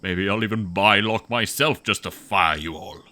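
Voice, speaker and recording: A man's voice: fairly low pitch, normal speed, sharp tone. A man playing a pompous, demanding, wealthy business mogul in a sci-fi audio drama, talking in a haughty, theatrical way. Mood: sulky, threatening.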